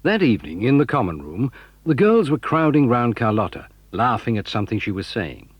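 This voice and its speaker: A man with a husky voice narrating a children's story in a scripted dramatisation. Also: mid-range voice, big pitch swings, a little fast, dull audio.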